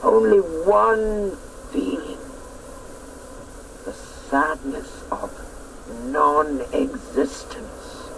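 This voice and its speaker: A grave, sorrowful keeper of a mountain in a radio play. The voice sits high and moves widely and theatrically, at a slow pace. The recording is clearly noisy. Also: male voice, lively intonation, a bit bright, heavily acted. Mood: troubled, grave. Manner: slow, dramatic, swooping. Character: stern, sorrowful guardian of the mountain crag.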